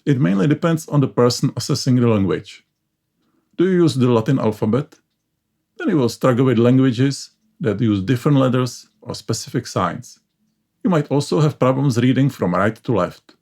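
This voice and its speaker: A man's voice: medium pitch, a little mellow. Man narrating. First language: Czech. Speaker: educational channel host. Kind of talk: scripted explainer narration.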